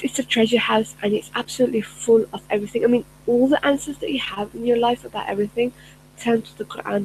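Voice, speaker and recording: A middle-aged woman whose first language is Urdu speaks earnestly and casually to her viewers as a personal development coach. She often pauses on fillers and restarts her sentences, with a faint hum in the background. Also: a little high, a little mellow, poor audio. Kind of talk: casual spoken talk to camera.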